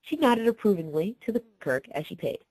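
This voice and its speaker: A woman's voice: mid-range voice, big pitch swings, fairly quick, darkish tone, breathy edge, no echo, low-quality recording. A woman reading scripted story narration aloud as the narrator.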